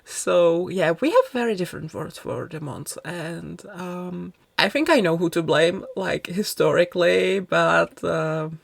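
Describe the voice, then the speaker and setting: A woman from the chats casually in a voice-over. Her voice sits fairly low with a noticeable creak. The sound is dry, with no room around it, and she rambles along as a video creator talking to viewers. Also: measured pace, few pauses, dry recording. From Czech Republic. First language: Czech. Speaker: video creator narrating a game build.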